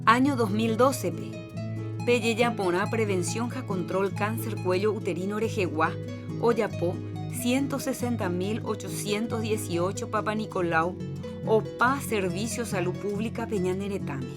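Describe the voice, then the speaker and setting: A woman's voice: medium pitch, measured pace. A woman presenting a scripted public health segment on a radio program. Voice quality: clear, informative reading. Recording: music bed under the voice.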